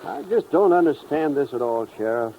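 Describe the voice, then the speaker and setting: A man's voice: mid-range voice, lively intonation, normal speed, natural voice. A man playing a troubled, regretful American townsman, speaking somberly in a 1950s radio drama. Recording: thin, phone-like, noisy old radio.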